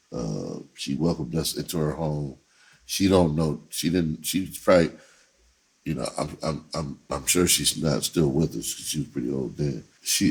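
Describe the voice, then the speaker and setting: A man's voice: low-pitched, husky. A middle-aged man telling a story casually, in a rambling, loose, slangy way. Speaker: entertainer and filmmaker telling his own story.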